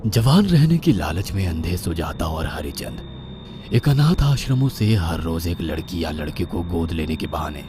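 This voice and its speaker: A man's voice: low-pitched, sing-song, normal speed, background noise. A man narrating a scripted horror story dramatically, with music playing behind him. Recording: loud background music.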